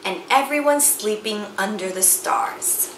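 A middle-aged woman reads a picture book aloud to children, with theatrical, deliberate, emphatic phrasing. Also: medium pitch, expressive. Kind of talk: expressive children's read-aloud storytelling. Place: small indoor room with bookshelves and chalkboard. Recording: roomy sound with faint background noise.

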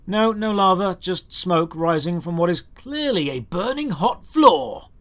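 A man's voice: sits high, animated, measured pace. A man narrating a scripted video game review in a sardonic, exasperated, emphatic way.

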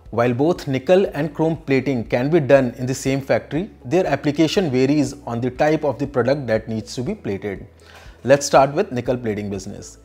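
A man's voice: medium pitch, a little mellow, husky. Young Indian-accented man, scripted explainer. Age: young adult. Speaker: presenter for a business consultancy.

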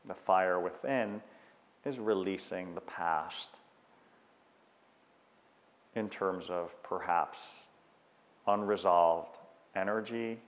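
Slow, very deep-voiced man guiding meditation. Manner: unhurried, measured instruction with long pauses. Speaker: meditation guide. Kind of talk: guided meditation. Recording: dull sound with long reverb.

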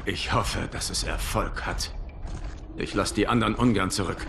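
An actor playing a noble, earnest warrior god and protector in video game dialogue, formal and dignified. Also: male voice, sits low, moderate pace, gravelly, noisy background. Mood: earnest, grateful. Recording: music behind the voice.

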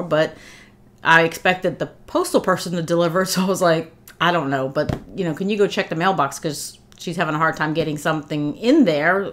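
A middle-aged woman chatting casually while unboxing a gift, a bit congested from a cold, with lots of fillers and heartfelt thanks, recorded seated in her living room. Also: low voice. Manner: chatty, warm thanks, many fillers and restarts. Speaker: vlogger.

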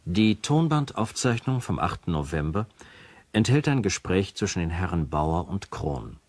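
Formal male official, deep voice, dry close sound, matter-of-fact radio play narration. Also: big pitch swings, normal speed, dry recording, heavily acted. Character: formal male official presenting recorded evidence. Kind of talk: scripted radio drama narration.

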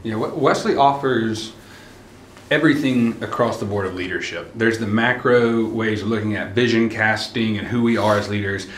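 A man's voice: on the low side, normal speed, roomy sound, a little hiss or hum. A pastor from Alabama reflecting on his studies in a casual, searching way, standing in a church atrium. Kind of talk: casual group testimonial.

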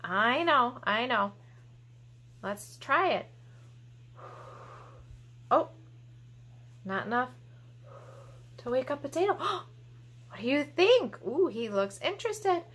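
A woman's voice: high voice, sing-song, slow, pauses often, fairly bright tone, breathy edge. A woman in her thirties presenting a children's storytime in a playful, exaggerated, encouraging manner, recorded in a small room at home. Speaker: library storytime host.

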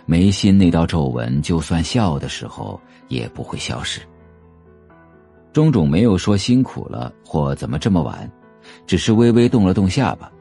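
Deep, creaky-voiced male narrator. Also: a little mellow. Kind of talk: scripted audio drama narration of a novel.

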